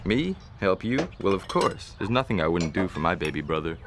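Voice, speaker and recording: A man's voice: low voice, big pitch swings, fairly quick, background noise. An actor playing a laid-off man in debt who is evasive and then pleading, in an amateur short film. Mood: jovial.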